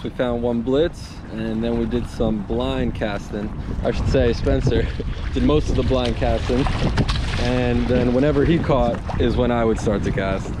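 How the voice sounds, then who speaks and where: A young man chatting casually and excitably as host of an outdoor fishing vlog. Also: medium pitch. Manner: excitable, whooping, laughing. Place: outdoors on a kayak on open water. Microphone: action camera mounted on kayak. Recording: noisy wind and water on action camera.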